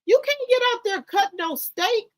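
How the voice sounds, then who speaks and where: A woman's voice: very high-pitched, expressive, normal speed. A middle-aged woman hosting casual commentary, blunt and lecturing, mixing slang into her talk. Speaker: commentary host.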